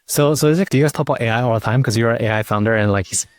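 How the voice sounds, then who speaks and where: A man hosting a casual interview, speaking informally and haltingly, often repeating words as he goes. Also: medium pitch, no room sound, clean studio sound. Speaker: podcast host interviewing a guest.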